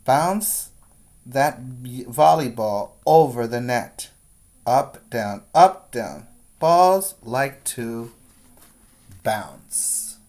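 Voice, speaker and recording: A male preschool teacher reads a children's book aloud at a very slow pace. His voice sits at a medium pitch. He phrases each line deliberately, in an instructional way, as if guiding young children through the pages. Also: lively intonation, darkish tone. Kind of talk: read-aloud for young children with explanatory asides.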